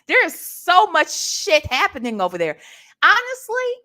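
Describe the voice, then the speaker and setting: A woman's voice: very high voice, huge pitch swings, a little slow, sharp tone. A woman doing casual gossip commentary as an online host, sassy, slangy and profane, punctuating her points with emphatic rhetorical check-ins. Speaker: online commentator hosting a reaction show.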